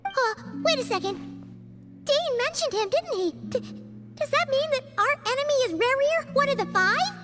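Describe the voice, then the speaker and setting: A woman voicing a small, chatty, excitable female fairy companion in a game cutscene. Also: extremely high pitch, big-room sound, music in the background. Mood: curious. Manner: chatty, blurts out reactions, quick to exclaim.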